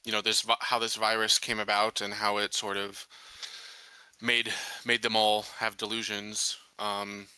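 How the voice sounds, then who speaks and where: Male roleplaying player, casual and hesitant, crisp bright voice, measured delivery. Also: fairly low pitch, completely flat delivery, no echo. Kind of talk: casual tabletop game talk.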